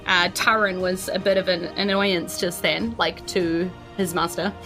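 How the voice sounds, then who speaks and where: Bright New Zealand woman running a game. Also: fairly low pitch, little pitch movement, unhurried, soft background music, low-quality recording. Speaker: game master. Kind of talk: casual narration of a tabletop fantasy game.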